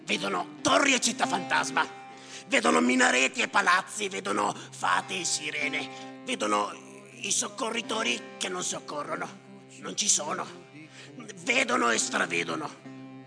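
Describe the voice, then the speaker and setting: A sardonic, bitter storyteller with a very creaky, high-set male voice. He declaims his monologue in insistent repetitions, theatrical and gravelly. Long reverb surrounds him, with music playing behind. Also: expressive, a little slow, exaggerated voice. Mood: ominous, intense. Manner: declamatory, repetitive, swinging from muttering to shouting. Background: live music.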